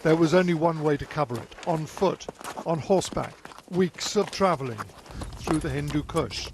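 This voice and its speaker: A man's voice: fairly high pitch, normal speed, noisy background. A male news correspondent narrating a scripted television report.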